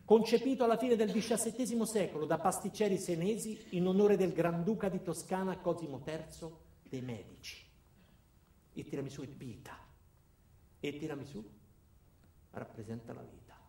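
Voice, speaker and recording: Echoey, higher-voiced man in theatrical monologue. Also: moderate pace, dark tone. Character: ordinary company man turned coldly cruel, addressing his boss. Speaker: actor performing a solo monologue. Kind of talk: theatrical dark-comic radio monologue. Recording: roomy, echoing sound.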